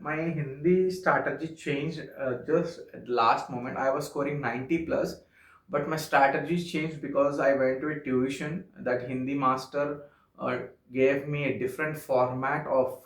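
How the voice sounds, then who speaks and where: A young man from Andhra Pradesh whose first language is Telugu, speaking English with a Telugu accent in an earnest, halting vlog monologue full of "okay" fillers. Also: medium pitch. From Andhra Pradesh, India. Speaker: vlogger. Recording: echoey room.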